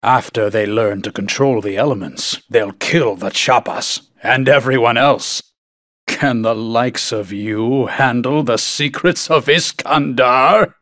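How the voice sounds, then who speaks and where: A man's voice: medium pitch, slow, a bit bright, dry recording, studio quality. A man playing a haughty, scholarly sorcerer in a video game, theatrical and declaiming. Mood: haughty contempt. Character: haughty scholarly male sorcerer, proud and power hungry. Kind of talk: video game villain acting.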